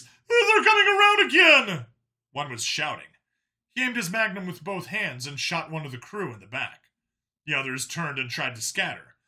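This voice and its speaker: Bright, forceful male story narrator. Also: a little high. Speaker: audiobook narrator. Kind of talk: dramatic scripted narration.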